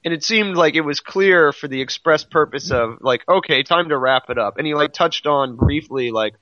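A man's voice: a little high, normal speed, sharp tone, dry recording. A man co-hosting a podcast in casual, conversational chat, heard on a slightly lo-fi recording. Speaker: podcast co-host. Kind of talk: casual chat about a comic series.

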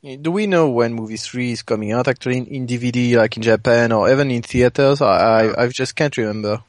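A man with a mid-range voice joins a casual fan discussion. He speaks haltingly, feeling his way through sentences, and is recorded very dry. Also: medium pitch, no echo. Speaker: podcast panelist.

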